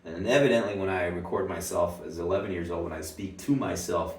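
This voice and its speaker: Low-voiced man, native Swedish speaker, rambling musician chat, slightly off-mic in an echoey room. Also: brisk, recorded from a distance. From Finland. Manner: rambling, swears casually, laughs at himself. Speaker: musician and video creator. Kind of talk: casual commentary on old recordings.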